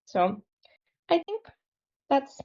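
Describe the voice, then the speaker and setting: A woman's voice: sits high, sing-song, extremely slow. A young woman shares a personal story in a casual, conversational way. Her voice comes through a video call with a slightly muffled, lo-fi sound. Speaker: program participant telling her story.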